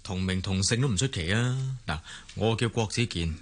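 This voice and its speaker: Lowish-voiced, sceptical young Cantonese man. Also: moderate pace. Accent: Hong Kong Cantonese. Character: down-to-earth young grandson, loyal and sceptical friend. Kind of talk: radio drama acting.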